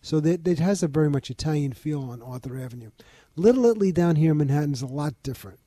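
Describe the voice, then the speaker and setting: Older Bronx-raised man, steady interview guest, warm and close. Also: a little high, no room sound. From the Bronx, New York. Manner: measured, informative, lists details. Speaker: museum founder, guest. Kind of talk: interview conversation.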